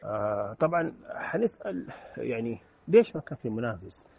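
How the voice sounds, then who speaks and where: A man from Saudi Arabia with a Saudi accent, giving earnest formal commentary as an interview guest and filling pauses with drawn-out "aah" sounds. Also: medium pitch, sing-song, vocal fry, darkish tone, dull audio, a little hiss or hum.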